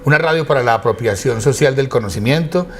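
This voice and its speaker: A man's voice: mid-range voice, expressive, quick pace. A man from Quindío with a Colombian accent, telling stories as an interview guest in formal, eloquent, elaborate phrases. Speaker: interview guest, academic and radio station director. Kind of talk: conversational storytelling in an interview.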